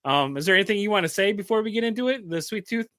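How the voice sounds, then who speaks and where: High, bright-voiced male host. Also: lively intonation, no room sound, clean studio sound. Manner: easygoing, quick to laugh. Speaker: podcast host. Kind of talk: casual interview chat.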